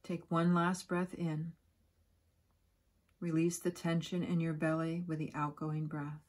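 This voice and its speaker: A woman guiding a yoga nidra relaxation in a soft, breathy, soothing voice, giving gentle instructions with long pauses. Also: low-pitched, little pitch movement. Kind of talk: guided relaxation meditation.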